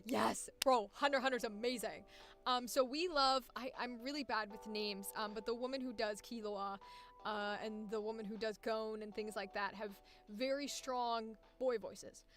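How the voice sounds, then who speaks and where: High-pitched young woman rambling about voice acting, bright tone, some music in the background. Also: steady tone, moderate pace. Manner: chatty, laughing, trying out squeaky character voices. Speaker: voice actor streaming. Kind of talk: casual live-stream chat about voice acting.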